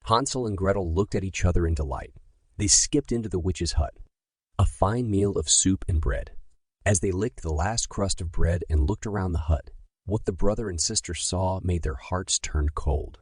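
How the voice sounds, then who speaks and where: Deep, husky male bedtime storyteller. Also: normal speed, no room sound. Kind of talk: scripted children's bedtime story narration.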